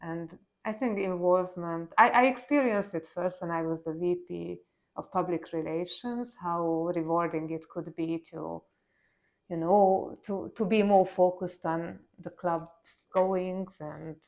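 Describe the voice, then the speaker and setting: A woman with a Hungarian accent talks through her answers in a halting, thoughtful way, often restarting her sentences. Her voice sits fairly low and has a gravelly fry, and the recording sounds dull and muffled. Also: steady tone. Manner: thoughtful, halting, with frequent restarts and soft laughs. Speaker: interview guest. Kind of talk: casual interview conversation.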